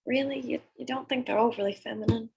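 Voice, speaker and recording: An actor reading a shy, gravelly young woman. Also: medium pitch, fairly even intonation, fast, a little mellow, exaggerated voice.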